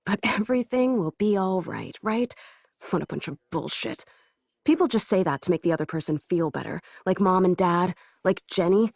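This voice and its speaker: A woman's voice: lowish voice, normal speed, gravelly, no room sound, clean studio sound. An actress playing a frightened young woman who has just gone blind, speaking her thoughts in whispers. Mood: bitter. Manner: inner monologue, whispering, panicky self-calming. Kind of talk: audio drama acting.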